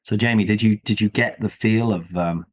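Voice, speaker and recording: A man with a fairly low voice hosts a casual chat show. He rambles and thinks aloud, often checking his own points with questions. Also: normal speed. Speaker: podcast host. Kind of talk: casual comic-book discussion.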